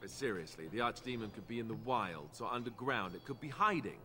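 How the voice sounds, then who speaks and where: A young male fantasy warrior from a video game, bright and forceful in a lowish register. He is earnest and good-natured, slipping into wry, understated asides. There is some noise behind the voice. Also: sing-song, a little fast. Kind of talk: video game dialogue acting.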